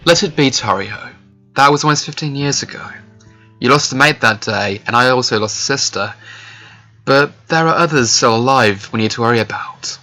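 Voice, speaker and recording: Bright-toned, dry, guarded male dragon played by a man. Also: medium pitch, moderate pace, soft background music, lightly acted. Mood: serious, protective. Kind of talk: animated fantasy web series dialogue.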